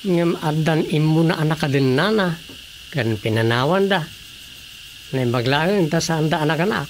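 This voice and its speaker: A good-natured family man speaks in a fairly high voice with an Ilocano Filipino accent. He talks in radio drama style, questioning and advising, over faint background noise. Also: expressive, normal speed. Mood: concerned, friendly.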